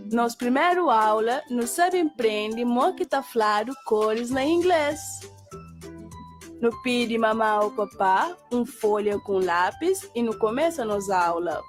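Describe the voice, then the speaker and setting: A patient, cheerful cartoon schoolteacher acted by a woman with a Cape Verdean accent and a fairly high voice. She gives instructions very slowly, with encouraging questions for young children. Light children's music plays underneath. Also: lively intonation. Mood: encouraging, instructive. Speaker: teacher. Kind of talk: scripted children's language lesson.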